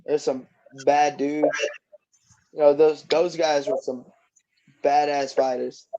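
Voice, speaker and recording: A young man in his twenties talks casually as a guest, easygoing and rambling, often restarting his sentences. His voice sits slightly high and has a mellow, dark tone. The recording is a bit muffled and lo-fi. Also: level tone, slow. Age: 20s. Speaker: guest boxer. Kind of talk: casual interview chat.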